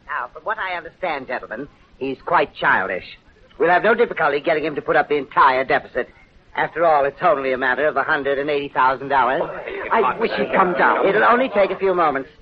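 An actor playing a pompous, condescending opera board gentleman in formal 1930s radio drama style. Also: on the high side, expressive, quick pace, close to an everyday voice. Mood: smug. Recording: tinny, noisy 1930s radio broadcast.

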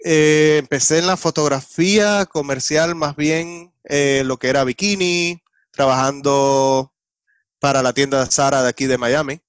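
A man with a Cuban Spanish accent speaks as a podcast guest. His voice is smooth and resonant, and it is recorded dry and close. He talks in a relaxed, explanatory way. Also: fairly high pitch, measured pace, no room sound. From Cuba. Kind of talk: casual interview chat.

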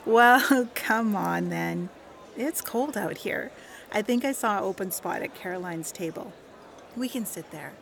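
A woman's voice: mid-range voice, lively intonation, unhurried, creaky, fairly bright tone, a little hiss or hum. A woman playing a wry, blunt clinic worker who teases her old friends, in a naturalistic audio drama with dry, casual, clipped phrasing. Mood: warmly teasing.

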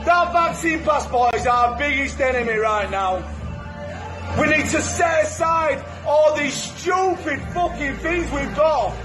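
A British man delivering a fiery, profane, shouted rant as a protester. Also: very high-pitched, unhurried, echoey room, music in the background. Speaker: protester in a played clip.

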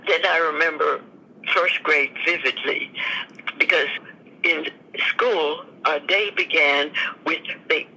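A woman in her nineties tells her memories as a guest, with pauses and small restarts. Her voice is bright and edged, with a husky, breathy grain. She speaks very slowly and takes her time with each thought. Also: deep voice, rough recording. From United States. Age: 90s. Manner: slow, thoughtful storytelling with restarts. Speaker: guest, army veteran. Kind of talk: reminiscing interview answers.

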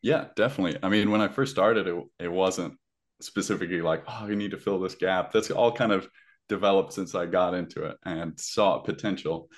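A man's voice: deep voice, brisk. A young man who grew up in Ireland, chatting casually as a podcast guest with a faint Irish accent and lots of fillers. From Zimbabwe. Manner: relaxed, many fillers.

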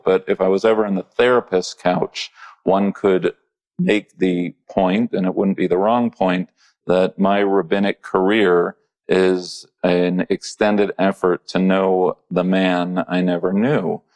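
A man, a rabbi, hosting a podcast interview, speaking in a halting way with many pauses, fillers and restarts. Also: low-pitched.

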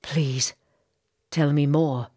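A woman voicing an earnest, courteous gentleman captain, speaking in a grave, formal, measured way for an audiobook. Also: low-pitched, steady tone, slightly rough, full character voice. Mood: grave politeness.

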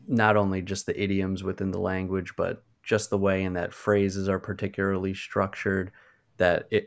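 Very deep, flat, gravelly man. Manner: hedging, lots of "like". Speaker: podcast co-host. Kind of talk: casual conversation.

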